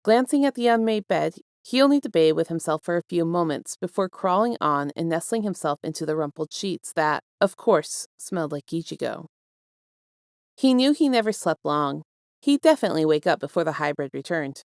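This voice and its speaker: Woman, low voice, gravelly creak, smooth tone, measured story narration, close dry sound. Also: expressive, a little mellow, no room sound. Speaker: fan fiction reader. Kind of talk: expressive scripted story narration.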